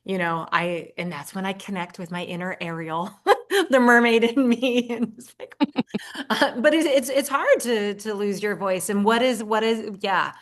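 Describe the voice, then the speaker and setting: A woman's voice: mid-range voice, steady tone, a little slow. A middle-aged woman talking earnestly in a casual podcast conversation, often restarting her sentences. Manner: earnest, talks in affirmations, frequent restarts. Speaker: podcast guest and host of her own show.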